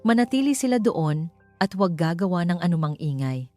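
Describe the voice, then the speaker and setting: A woman narrates a dramatic love story from a script. Her voice sits a little low, and music plays behind her. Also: big pitch swings, fairly quick, warm tone.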